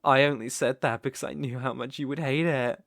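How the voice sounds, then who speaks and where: A man's voice: medium pitch, fairly even intonation, a little fast, creaky, a bit bright, dry recording, clean studio sound. A British man playing a cruel, doting sorcerer in an ASMR roleplay, cooing baby talk with smug menace. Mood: teasing, condescending. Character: gloating, doting, cruel male sorcerer captor.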